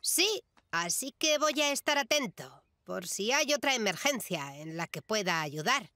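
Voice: mid-range voice, big pitch swings, unhurried, no echo, studio quality, exaggerated voice. An actor playing an eager, boastful little boy who wants to be a firefighter, in a children's cartoon dub. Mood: proud, eager. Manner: boastful, keen. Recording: loud music behind the voice.

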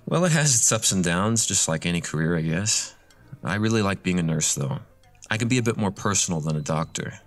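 Man acting as eager creepy guy next door, low voice, chatty, awkward, horror podcast drama. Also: animated, fairly quick. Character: chatty, awkwardly eager male nurse and neighbour, faintly creepy. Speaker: actor in a horror audio drama. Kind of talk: scripted audio drama dialogue.

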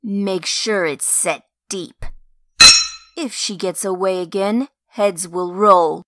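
A woman's voice: mid-range voice, steady tone, takes it slowly, heavy background noise, lightly acted. A woman playing a ruthless, fanatical young revolutionary in a comic dub, hard and commanding. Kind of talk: amateur comic dub acting.

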